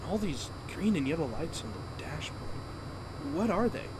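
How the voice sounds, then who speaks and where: A man's voice: on the high side, sing-song, moderate pace, noisy background. A man playing a moody, reluctant young fighter in an audio drama, youthful and emotional. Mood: curious. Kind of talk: fan audio drama acting.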